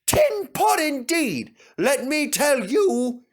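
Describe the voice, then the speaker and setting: A man's voice: very high-pitched, big pitch swings, slow pace, voice pushed up, full character voice. A man voicing a proud, boastful tank engine in a children's story, with an emphatic, indignant manner.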